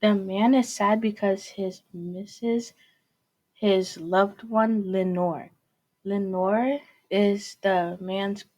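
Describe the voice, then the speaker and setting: A woman reading scripted story narration aloud in a halting manner. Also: mid-range voice, a little mellow. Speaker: student reader.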